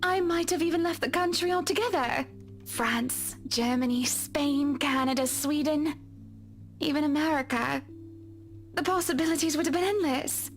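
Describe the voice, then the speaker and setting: A woman voicing a gentle, polite young wife in a game who speaks softly with quiet regret. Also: high-pitched, normal speed, slight background noise. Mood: wistful. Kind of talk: voiced video game dialogue.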